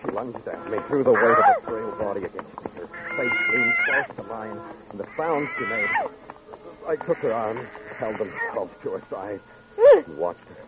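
An actor playing a somber, world-weary New York police detective, grave and dramatic, in a vintage radio noir drama. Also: male voice, medium pitch, huge pitch swings, moderate pace. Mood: tense, somber. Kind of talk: 1940s-50s radio noir acting and narration. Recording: dull old radio recording. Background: dramatic music.

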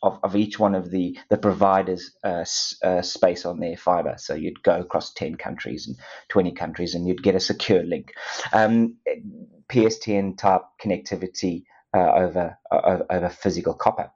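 A man's voice: sits low, fairly even intonation, moderate pace, darkish tone, husky. A middle-aged man with a South African accent, a company co-founder chatting as a guest, rambling with many restarts and easy laughs. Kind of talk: casual interview talk. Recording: dull lo-fi sound.

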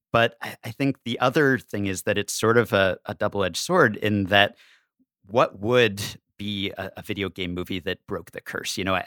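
A man speaking as a podcast guest, giving conversational analysis in a measured, explanatory way. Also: on the low side, fairly bright tone, dry recording, studio quality. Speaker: podcast guest, staff writer.

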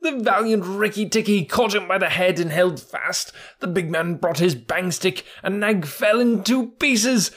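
A man voicing a silly, excitable male tailorbird with a husky, sing-song voice. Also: high voice, a little slow, hardly any pauses, pitched above the performer's own voice. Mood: excited, boastful.

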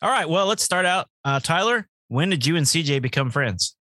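A man's voice: on the high side, lively intonation, a little fast, sharp tone, no room sound. Podcast host man, dry close sound. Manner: self-deprecating, light joking. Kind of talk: casual chat.

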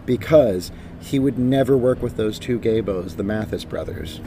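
A man co-hosting a casual podcast, animated and jokey, his voice raspy today. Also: on the low side, normal speed. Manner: animated, jokey, interrupts often. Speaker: podcast co-host. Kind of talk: casual joking chat. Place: outdoors on a high spot. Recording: outdoor podcast with traffic noise.

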